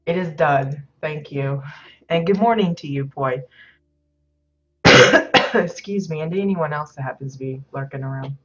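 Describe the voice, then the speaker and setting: A woman hosting a game livestream in casual chat, a little hoarse and stuffed up, rambling with many ums and uhs. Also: deep voice, a little slow, pauses often, fairly bright tone. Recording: slightly dull lo-fi audio.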